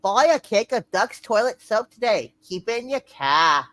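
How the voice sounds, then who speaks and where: A woman's voice: medium pitch, animated, moderate pace, over a noisy crowd. A woman announcer reading old-time radio sponsor spots in a playful, hammy style.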